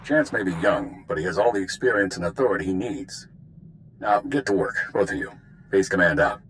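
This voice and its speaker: A stern tired spy boss in a sci-fi game, really low voice, bit of noise behind. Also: male voice, expressive, brisk, fairly bright tone, poor audio. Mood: commanding. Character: stern, world-weary male spymaster of a space republic. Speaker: intelligence commander giving a mission briefing. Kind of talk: video game voice acting.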